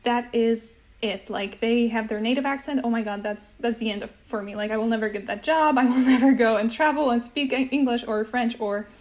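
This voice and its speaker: A woman hosting a casual conversation about language learning, animated and laughing often. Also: fairly high pitch, fairly quick, hardly any pauses. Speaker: language teacher host.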